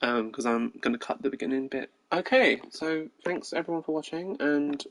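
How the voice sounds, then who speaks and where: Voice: mid-range voice, normal speed, dry recording. A game streamer chatting casually to viewers with a tired, strained voice. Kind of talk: casual livestream sign-off.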